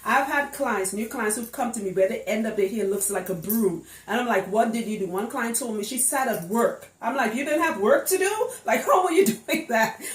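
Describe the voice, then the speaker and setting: A woman's voice: a little high, animated, normal speed, few pauses. A woman giving a casual, chatty explainer to camera as a hairstylist, emphatic and fond of rhetorical questions. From Trinidad. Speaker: locs hairstylist. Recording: roomy, echoing indoor sound.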